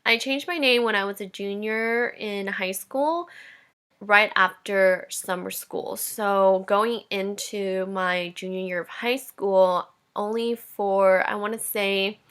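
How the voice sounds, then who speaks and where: A woman's voice: medium pitch, fairly bright tone. A woman in her twenties hosting a personal podcast, telling her own story in a candid, reflective way. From Indonesia. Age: 20s. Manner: candid and reflective, with light laughs. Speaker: podcast host.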